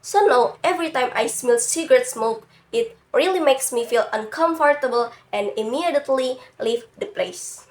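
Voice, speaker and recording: Brief: young woman, stuffy from a cold, high voice, careful read-through of a student presentation. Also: expressive. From Indonesia. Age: young adult. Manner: careful, slightly halting non-native English. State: has a cold. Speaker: university student. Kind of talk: reading a prepared class presentation.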